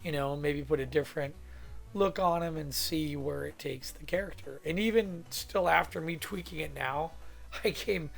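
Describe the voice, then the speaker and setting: A man from California with a hoarse voice from a cold, thinking aloud in a rambling, casual way as he teaches a drawing demo in his home studio. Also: fairly high pitch, normal speed, faint music behind. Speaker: art instructor.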